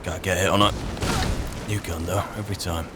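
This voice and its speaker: A man's voice: sits low, fairly even intonation, fairly quick, heavy background noise. A British man doing in-character roleplay commentary on a video game, talking wryly and conversationally as if muttering to himself. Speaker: gamer playing a roleplay character. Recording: voice over game music. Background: video game music and sound.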